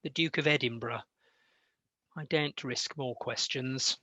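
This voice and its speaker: A man's voice: medium pitch, fairly even intonation, unhurried, bright, forceful tone, no room sound. A British man acting an exasperated, serious Victorian composer in a radio play, speaking earnestly and formally. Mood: apologetic, weary. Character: weary, exasperated male Victorian composer, serious and self-pitying.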